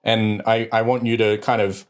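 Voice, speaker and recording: Guy on a tech podcast, lowish voice, casual chat, bit halting, dry irony. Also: little pitch movement. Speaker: co-host of a tech news podcast.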